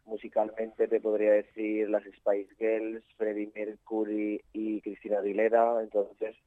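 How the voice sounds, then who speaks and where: A man's voice: lowish voice, completely flat delivery, measured pace, dry recording. A young man from Spain, a photographer guest, chatting casually with many fillers and restarts. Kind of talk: casual interview chat. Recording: tinny phone-like line.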